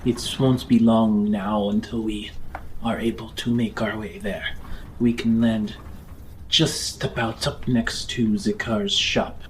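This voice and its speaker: A man narrating a tabletop roleplaying game as game master, in a measured, descriptive storytelling manner. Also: lowish voice, level tone, a little hiss or hum, poor audio. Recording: music under the voice. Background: background music.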